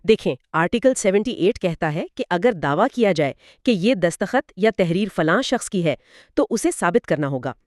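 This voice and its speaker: Casting: female educational co-host, animated intonation, didactic step-by-step delivery, close dry sound. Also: fairly low pitch, normal speed, no echo. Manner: didactic, explains step by step with examples. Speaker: co-host explaining law. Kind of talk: explanatory educational dialogue.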